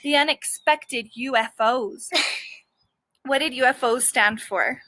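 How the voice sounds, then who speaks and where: A woman teacher reads a children's story aloud in a high voice, recorded close and dry. She is expressive, leans on key words, and breaks off to explain things to young listeners. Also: slow, dry recording.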